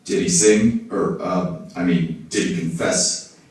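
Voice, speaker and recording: Man as down-on-his-luck gumshoe puppet, deep, wisecracking, noir parody, very roomy distant sound. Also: steady tone, slow, a little mellow. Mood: wry, joking. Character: wisecracking, down-on-his-luck hard-boiled male private detective puppet. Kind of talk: film-noir detective parody in a puppet show. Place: indoor hall, behind a puppet stage. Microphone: far camera in the audience. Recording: echoey room, distant audience camera.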